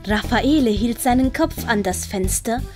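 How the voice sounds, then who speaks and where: A woman's voice: a little high, lively intonation, measured pace, darkish tone. A woman narrating a scripted story as an audiobook storyteller. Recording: music under the narration. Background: prominent plucked-string music.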